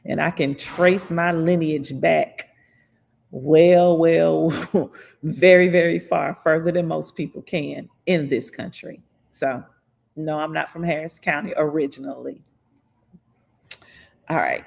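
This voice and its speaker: Creaky-voiced livestream host from Florida. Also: medium pitch, steady tone. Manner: reads chat comments aloud and replies, laughs often, slows down to stress points. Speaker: livestream host answering viewer questions. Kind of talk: casual political livestream chat.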